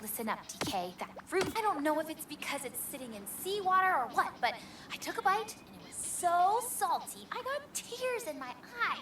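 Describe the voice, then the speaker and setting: A narrator putting on a very high, whiny young kid's voice, heard quietly and set back from the mic. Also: big pitch swings, moderate pace, long pauses, no echo, full character voice. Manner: whiny, complaining. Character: whiny young kid complaining.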